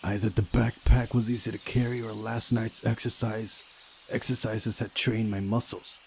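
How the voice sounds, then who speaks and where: A man's voice: lowish voice, flat delivery, moderate pace, vocal fry, background noise. A man reading a visual novel aloud as narration, with occasional casual side comments. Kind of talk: story narration with casual asides.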